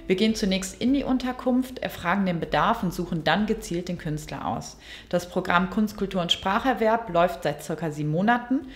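Brief: thirtyish coordinator, low-set voice, plain factual delivery. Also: female voice. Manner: clear, factual. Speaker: program coordinator. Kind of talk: documentary interview statement. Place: indoor interview setting.

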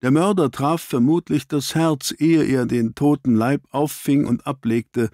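A man reading an audiobook, voicing an analytical, ironic gentleman detective with precise, clipped statements. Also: mid-range voice, steady tone, unhurried, no echo, clean studio sound, natural voice.

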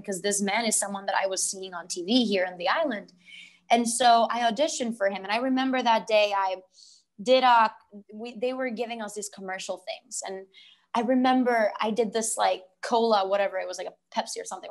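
A young woman from Puerto Rico, a guest actress chatting on a video call through earphones, telling stories with lively gestures. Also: fairly high pitch. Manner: animated storytelling with laughs and gestures. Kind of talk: casual interview chat. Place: home room with blue wall. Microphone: earphone headset mic on video call.

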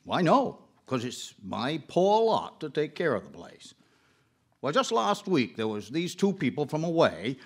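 A gloomy rustic caretaker in a radio play. He tells things in a folksy way, with a slightly high voice and lively swoops in pitch. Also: full character voice. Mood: ominous storytelling. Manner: storytelling, halting when flustered. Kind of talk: live radio drama acting.

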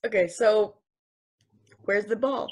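A woman reading a curious, wide-eyed space probe in a table read over a video call, talking in an earnest, halting way. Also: medium pitch, darkish tone. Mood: wondering.

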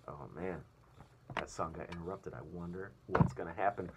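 A man in his thirties reading a storybook aloud like a teacher to his class, in an animated way. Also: low-pitched, normal speed, heavy background noise. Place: small indoor office or classroom.

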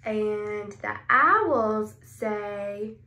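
A woman in her twenties reading a children's picture book aloud expressively, asking questions and answering them. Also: mid-range voice. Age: 20s. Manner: expressive, exclaiming, asks questions and pauses before answering. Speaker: speech therapist. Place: small indoor clinic room. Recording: roomy echo, clear background noise.

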